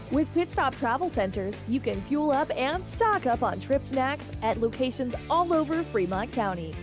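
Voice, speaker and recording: A woman announcer reading scripted radio ads in a polished promo style. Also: fairly high pitch.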